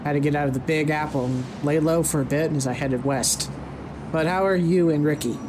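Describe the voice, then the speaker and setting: A weary fugitive, played by a man in an audio drama, guarded and casual. His voice is slightly high for a man. Noisy street ambience with vehicles sits behind him. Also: a little fast, natural voice.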